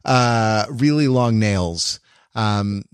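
A man hosting a podcast, talking casually about pop culture in a halting, wordy, explanatory way. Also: fairly low pitch, clean tone, no echo, studio quality. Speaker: podcast host.

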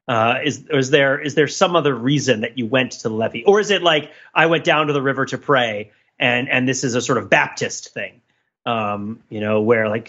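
Bright-voiced man, rambling podcast host. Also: fairly high pitch, highs cut off. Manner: rambling, playfully analytical, thinking aloud. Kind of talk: casual podcast discussion.

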